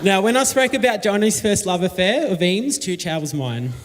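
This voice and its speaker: A man's voice: high voice, animated, normal speed, background noise. A man giving a jokey, reminiscing wedding toast to his friends. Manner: reminiscing, jokey, read from notes. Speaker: wedding speaker, friend of the couple. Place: wedding reception hall. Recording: long hall reverb.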